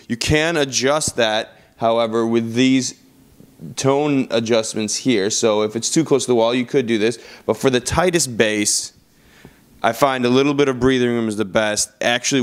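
A man narrating a product review in an informal, conversational style, as a reviewer talking through features. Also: medium pitch. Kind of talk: casual video review narration.

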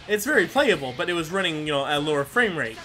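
A man with a fairly high, bright and forceful voice talks casually over a video game. He rambles along in a self-deprecating way with some mild swearing, and music plays behind him. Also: lively intonation, fast. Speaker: gaming video host. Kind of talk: casual gameplay commentary.

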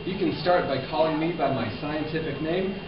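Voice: mid-range voice, brisk, background noise, lightly acted. A performer voicing a confident, encouraging male scorpionfish who explains things in an educational puppet show. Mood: instructive. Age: young adult. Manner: explanatory, assured. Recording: echoey room, distant mic, muffled sound.

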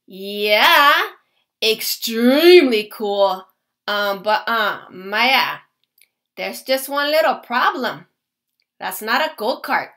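A woman's voice: on the high side, sing-song, slow, fairly bright tone, full character voice. A woman voicing an eager, practical schoolboy in a read-aloud storybook. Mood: hesitant.

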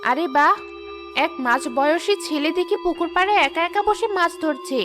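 A woman's voice: extremely high pitch, moderate pace, music in the background. A woman voicing a mischievous, greedy female tree ghost in a cartoon, sly, grumbling and scheming. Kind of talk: cartoon character acting.

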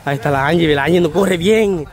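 A Salvadoran man hosting a vlog, cheering on a running game with rapid repeated shouts, recorded on a camera mic outdoors. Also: on the high side. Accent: Salvadoran Spanish. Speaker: vlog host filming a group game. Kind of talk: excited live game commentary. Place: outdoor park lawn with crowd.

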